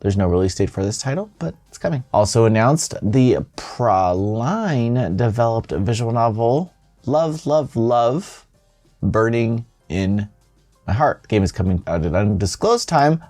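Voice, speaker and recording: Animated male gaming news host. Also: medium pitch, a little slow. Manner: playful, self-deprecating asides. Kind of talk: scripted news read with casual, joking asides. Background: music.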